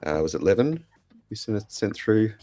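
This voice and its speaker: An Australian man with a croaky voice, casually hosting a podcast. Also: on the low side, very animated, moderate pace, mellow, dry recording, faint music behind. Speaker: podcast host.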